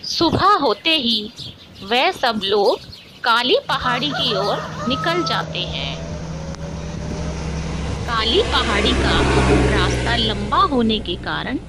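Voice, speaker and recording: A woman's voice: fairly high pitch, very animated, slow pace. A woman narrating a scripted cartoon story in a storytelling style, with noisy ambience behind her.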